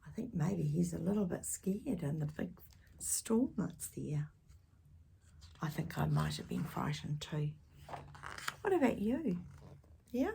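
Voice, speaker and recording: A woman reading a picture book aloud to young children, expressive and dramatic, with gentle questioning asides. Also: on the low side, slow pace, creaky, pauses often, a little hiss or hum. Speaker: children's storytime reader.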